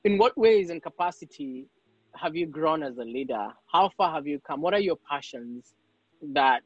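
A man's voice: fairly high pitch, expressive, moderate pace, slightly rough, no echo, lo-fi sound. A man from Kenya hosting a podcast, telling a story and asking thoughtful questions. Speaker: podcast host.

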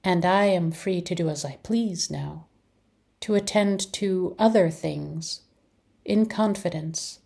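A woman reading a poem aloud in measured, deliberate phrases with pauses. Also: lowish voice, creaky, warm tone, husky. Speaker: community poetry reader. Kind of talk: poetry reading.

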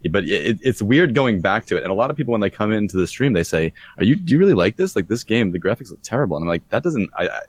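A man chatting casually on a podcast as a streamer, talking quickly with stammering restarts and a raspy voice. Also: lowish voice, big pitch swings.

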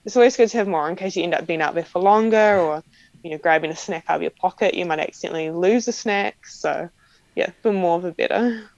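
A young woman with a strong New Zealand accent talks casually in an interview, her speech peppered with "like" and small laughs. Her voice sits low with a gravelly, fry-tinged edge. The sound is dry and close, a bit dull and lo-fi. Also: fast talker, darkish tone, no room sound. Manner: chatty, frequent "like" fillers and light laughs. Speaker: professional cyclist guest.

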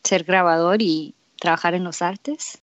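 A woman's voice: on the low side, measured pace, no room sound. A woman, hosting a casual conversational interview podcast. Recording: dry, slightly dull lo-fi podcast audio.

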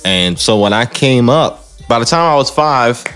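A man's voice: mid-range voice, lively intonation, moderate pace, a bit bright, clean tone, faint music behind. A man talking casually as an interview guest, explaining and telling stories in an emphatic way, often repeating phrases. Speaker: guest musician, singer and dancer.

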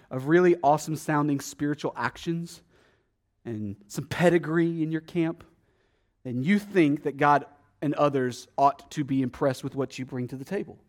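Male pastor, high voice, casual sermon delivery with dramatic emphasis and asides. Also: normal speed. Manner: conversational, asks the congregation rhetorical questions; dramatic swings in loudness and pitch for emphasis.

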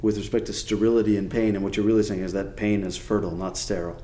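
A man's voice: on the low side, steady tone, fast talker, mellow, echoey room. A man interviewing a guest, reflecting her points back to her in a thoughtful way. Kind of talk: conversational interview.

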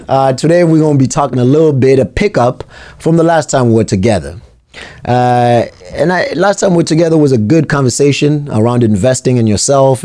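A man from South Africa hosting a motivational radio segment, talking in a reflective, earnest and measured way. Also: mid-range voice, mellow, no room sound. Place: radio studio.

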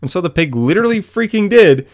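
A man's voice: high-pitched, very animated, quick pace. A young man co-hosting a casual comic-book podcast, who laughs often as he summarizes a story. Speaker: podcast co-host.

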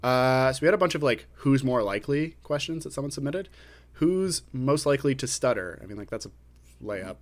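A man co-hosting a podcast about a writing contest, talking slowly and deliberately, pausing rather than using fillers. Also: mid-range voice, expressive. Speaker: podcast co-host. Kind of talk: casual podcast chat. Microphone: podcast microphone.

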